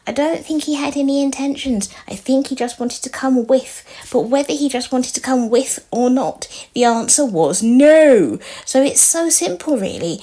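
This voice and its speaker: A woman with a quiet, soft voice, telling a personal story in a casual vlog with an earnest, pleading manner. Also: high voice, lively intonation, normal speed, hardly any pauses. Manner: earnest, pleading, sometimes drops to a whisper. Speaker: storytelling vlogger.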